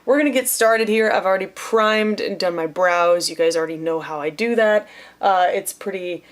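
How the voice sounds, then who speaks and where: A woman's voice: mid-range voice, normal speed. A young woman talking through a makeup tutorial in a chatty, playful way, sometimes dropping to a near whisper as she works. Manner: chatty, playful, self-deprecating. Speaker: beauty vlogger.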